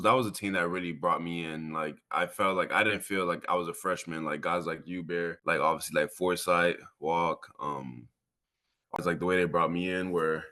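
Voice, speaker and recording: A young man from Miami with a very low, bright and forceful voice gives a casual interview as a college athlete. He speaks earnestly and informally, circling back over phrases for emphasis. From Miami, Florida. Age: 20s. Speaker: college football player, interview guest.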